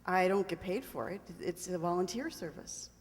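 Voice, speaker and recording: A woman's voice: fairly low pitch, normal speed, natural voice. A woman playing a sincere, polite volunteer travelers' aid worker answering plainly as a radio quiz contestant. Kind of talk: recreated old-time radio quiz show. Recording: echoey hall with long reverb.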